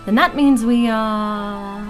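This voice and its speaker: A woman's voice: on the high side, takes it slowly, over loud music. A woman playing a practical, wary elf mage in a fantasy audio drama, with a dry, mildly impatient manner. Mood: defensive.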